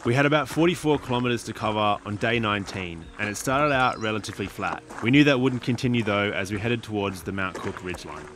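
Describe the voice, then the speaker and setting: A young man reading a calm, reflective voice-over narration of a journey. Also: on the low side, fast, few pauses, slight background noise. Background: music.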